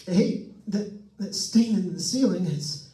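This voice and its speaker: A man's voice: high voice, slow pace, mellow. A man playing a nervous, chatty radio host alone in a haunted house, stammering and rambling in an old-time radio suspense style. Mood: creeping dread. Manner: stammering, jittery, rambling with forced cheer. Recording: echoey room, distant microphone.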